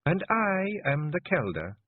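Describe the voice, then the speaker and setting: A man voicing a tiny, fierce fairy clan matriarch with a broad Scottish accent and a sharp, commanding delivery. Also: high-pitched, animated, a little slow, breathy edge, dry recording, pitched above the performer's own voice, exaggerated voice. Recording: phone-like narrow band.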